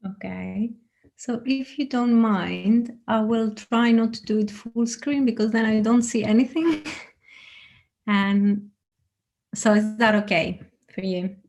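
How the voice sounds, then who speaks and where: A woman from Greece with a Greek accent gives an informal online presentation as a research fellow, in a halting, hesitant way with frequent ums. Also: fairly high pitch, creaky, a little mellow. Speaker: research fellow, invited panel speaker. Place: online video call. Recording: noisy video call audio.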